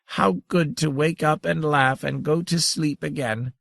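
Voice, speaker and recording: A man's voice: a little high, fairly even intonation, measured pace, creaky, a bit bright, no echo, studio quality, voice pushed up. A man voicing a tiny, ancient, giggling female creature who teases and chitters playfully. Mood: gleeful. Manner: tinkling, chittering, teasing.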